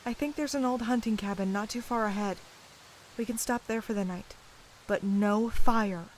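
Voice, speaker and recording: A woman's voice: a little high, moderate pace, a little hiss or hum, natural voice, rough recording. A woman acting a protective, agitated young fugitive woman in a fantasy audio drama, insistent and caring. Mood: urgent, reassuring.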